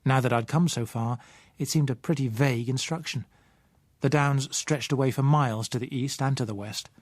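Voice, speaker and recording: British guy reading an audiobook novel, measured storytelling, very dry close sound. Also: mid-range voice, dry recording. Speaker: audiobook narrator. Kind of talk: scripted first-person novel narration.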